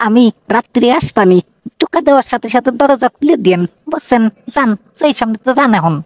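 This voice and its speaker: A man's voice: very high voice, animated, fairly quick, no echo. Brazen slum gangster, acted by a man, taunting and crude. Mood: menacing, bossy. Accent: rural Bangladeshi. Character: brazen bullying male slum gangster, lewd and menacing. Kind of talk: cartoon villain dialogue.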